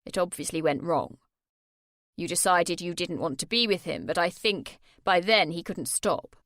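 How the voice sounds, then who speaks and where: A woman voicing an earnest young man who speaks gravely and steadily. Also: lowish voice, moderate pace, a bit bright, no room sound, studio quality.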